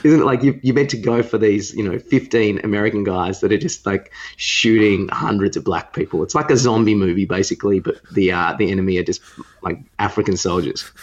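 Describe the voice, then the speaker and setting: Aussie guy chatting on a podcast, lowish voice, bit dull recording, rambles and restarts with opinions. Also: brisk, slight background noise. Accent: Australian. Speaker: podcast co-host. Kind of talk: casual chat about films and sport.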